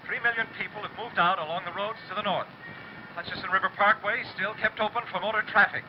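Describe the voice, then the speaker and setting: A man's voice: sits high, close to an everyday voice. A man playing a grimly steadfast radio announcer in a 1930s radio drama, reporting disaster in a solemn, declamatory way. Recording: dull old broadcast, clear background noise.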